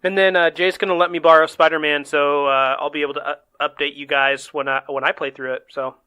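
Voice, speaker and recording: A man's voice: on the high side, level tone, brisk, pushed and bright, clean tone. A man hosting a casual gaming podcast, joking around and laughing often, with lots of fillers. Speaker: podcast host.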